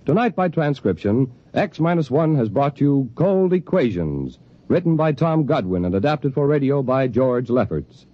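A man's voice: mid-range voice, very animated, normal speed. An American man announcing credits in a 1950s radio network style. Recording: dull 1950s radio, music behind.

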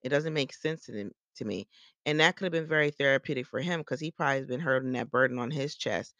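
Gravelly-voiced blunt gossip podcast host. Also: male voice, mid-range voice, quick pace, sharp tone, no echo. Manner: blunt, opinionated, swears freely; rambling with frequent restarts. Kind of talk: casual gossip commentary.

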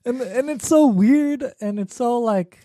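A man's voice: extremely high pitch, a little slow, dark tone, dry recording. A man talking through his thoughts on a film in a casual discussion, thoughtful and hesitant with many fillers.

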